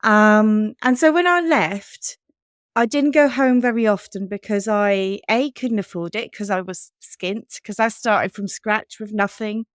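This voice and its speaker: Chatty English-accented woman, smooth voice. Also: mid-range voice, measured pace, dry recording, studio quality. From Switzerland. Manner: chatty, candid, laughs often. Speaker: podcast co-host. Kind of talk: casual chat.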